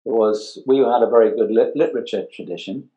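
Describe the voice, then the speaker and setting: An older man from northeast England with an English accent, talking casually as an interview guest, explaining haltingly and repeating words and phrases. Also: on the low side, dark tone, lo-fi sound. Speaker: interview guest, advertising art director. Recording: roomy, echoing sound.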